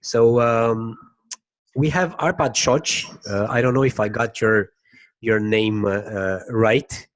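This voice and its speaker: A man with a fairly low voice, opening an interview and asking questions. His speech is loose and casual, broken by fillers and hesitations. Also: steady tone. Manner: halting, filler-heavy, clears throat.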